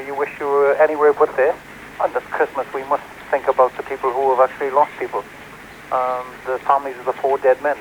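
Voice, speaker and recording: A man with a British accent giving spontaneous interview answers as a firefighter. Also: on the high side. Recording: dull, faintly noisy 1980s TV tape.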